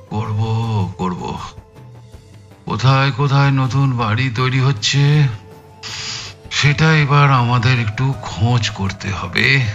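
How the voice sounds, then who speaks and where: An actor playing an exhausted, frustrated police detective in an audio thriller, with a halting, emphatic way of telling things. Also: male voice, medium pitch, fairly bright tone, highs cut off. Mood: tired, determined. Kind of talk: dramatized audio thriller acting.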